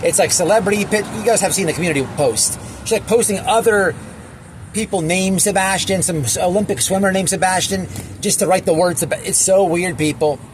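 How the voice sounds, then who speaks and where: A man giving a casual, opinionated reaction rant as an online commentator, emphatic and repetitive, with halting phrasing and crude slang. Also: high-pitched, slight background noise.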